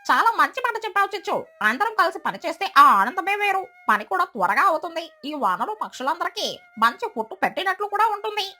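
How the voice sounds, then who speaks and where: A woman's voice: extremely high pitch, sing-song, a little fast, pushed and bright, pitched above the performer's own voice, full character voice. A woman voicing a cheerful, proud female duck, chirpy and enthusiastic, in a cartoon story. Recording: music behind the voice.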